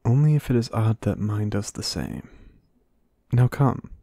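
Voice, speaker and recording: A devoted, earnest knight, played by a man in a romantic fantasy audio roleplay. His voice is fairly low and recorded dry, without room sound. He speaks to his queen in formal, courtly phrases. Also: unhurried, warm tone, dry recording. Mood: warm, eager.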